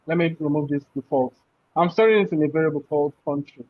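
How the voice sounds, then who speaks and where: A man's voice: fairly high pitch, quick pace, dry recording. A man teaching a programming class. He walks through code step by step and keeps checking in with "right?". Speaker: programming instructor. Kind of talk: live coding class explanation. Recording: muffled, dull audio.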